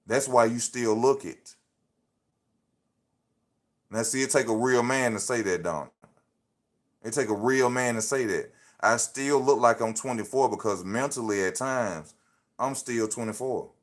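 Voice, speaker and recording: A man's voice: mid-range voice, measured pace, pauses often. A man in his thirties livestreaming off his phone, giving casual motivational talk full of slang and repeating his points like a preacher. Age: 30s. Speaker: livestream host.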